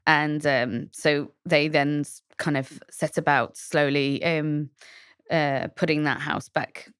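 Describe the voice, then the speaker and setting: A woman from Shropshire answering questions as a designer guest on a podcast. She talks reflectively, pausing often with fillers and restarting her sentences. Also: deep voice, steady tone, fairly bright tone, clear, resonant tone, dry recording, studio quality. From England, Shropshire.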